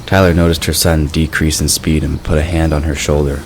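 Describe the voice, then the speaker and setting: A man's voice: rumbling low, little pitch movement, a little fast. A man reading scripted narration for a fantasy audio drama. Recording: clear background noise.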